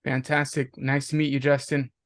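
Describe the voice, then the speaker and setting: A man's voice: medium pitch, flat delivery, normal speed, no room sound, clean studio sound. A man leading introductions at the start of an online class, giving short friendly replies over a video call. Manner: friendly, brief acknowledgments. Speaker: course instructor.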